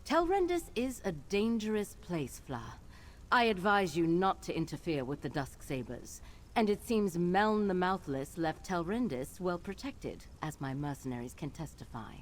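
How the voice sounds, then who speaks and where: Haughty low-voiced sorceress. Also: female voice, sing-song, normal speed, a little hiss or hum. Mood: haughty, warning. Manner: imperious, dry, formal. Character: haughty, commanding wizard noblewoman with mercenaries. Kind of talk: fantasy video game acting.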